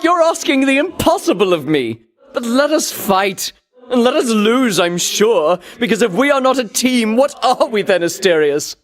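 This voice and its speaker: An actor playing a pompous, vainglorious ancient Greek champion in a video game, speaking in haughty, grandiloquent, archaic phrases with theatrical bombast. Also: male voice, very high voice, sing-song, normal speed. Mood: sulking, hurt. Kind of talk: theatrical video game villain-rival dialogue.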